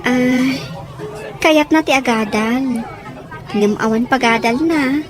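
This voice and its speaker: A woman's voice: sits high, slow pace. An actress playing a sympathetic female friend with a Filipino accent, earnestly explaining hardship in a melodramatic audio drama. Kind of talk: melodramatic Filipino radio-style drama acting. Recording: crowd noise behind the voice.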